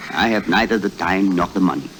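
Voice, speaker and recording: An actor playing a humble, earnest French schoolteacher with formal, deferential, hesitant speech in an old radio drama. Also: male voice, sits low, a little fast, fairly bright tone. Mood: effusive, humble. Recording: noisy old radio recording.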